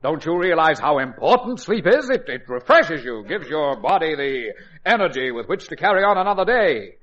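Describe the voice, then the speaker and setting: A man's voice: on the high side, sing-song, moderate pace, fairly bright tone. An actor playing an exasperated middle-aged father who sputters and makes pompous, emphatic pronouncements in an old radio comedy. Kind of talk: old-time radio situation comedy acting. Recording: muffled vintage radio broadcast.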